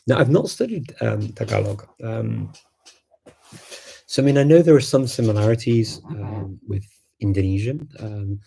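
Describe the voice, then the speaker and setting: A man's voice: on the low side, normal speed, gravelly, warm tone, slightly rough. A man whose first language is Macedonian, hosting a live stream and chatting casually in answer to viewer questions, thinking aloud with many ums and restarts.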